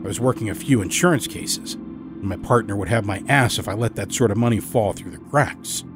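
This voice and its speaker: A man's voice: fairly low pitch, music in the background. Male horror storyteller over a musical score. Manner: dramatic, expressive, deliberate emphasis. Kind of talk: scripted first-person horror audiobook narration.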